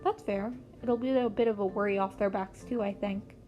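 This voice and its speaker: A woman's voice: mid-range voice, steady tone, moderate pace, dark tone, natural voice. A woman voicing a fifteen-year-old boy vigilante in an amateur audio story, blunt and offhand, with music underneath. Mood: nonchalant.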